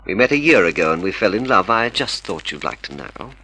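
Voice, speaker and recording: A man's voice: low voice, sing-song, brisk, sharp tone, slightly rough, exaggerated voice, low-quality recording. A man acting in a radio drama as a cold, scheming murderer, methodical and commanding. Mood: gloating, threatening. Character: cold, calculating murderous male dentist, secret lover, scheming.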